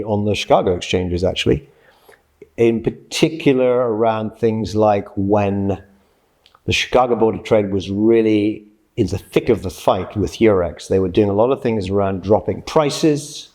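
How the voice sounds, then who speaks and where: A man of about sixty from Scotland, giving reflective interview answers in a measured, explanatory way, often correcting himself. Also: on the low side, a little mellow. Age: late 50s to early 60s. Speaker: interviewee, journalist and freelance writer.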